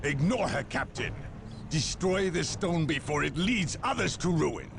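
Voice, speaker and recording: A man playing a zealous inquisitor with calm authority in a game cutscene, formal and commanding. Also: fairly high pitch, measured pace, vocal fry, very noisy. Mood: urgent. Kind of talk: video game cutscene acting. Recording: music under the voice.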